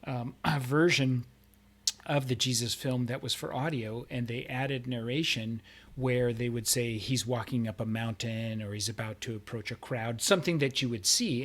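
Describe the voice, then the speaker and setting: A man's voice: mid-range voice, fairly bright tone. A man speaking in a casual, conversational storytelling style, as a podcast host would.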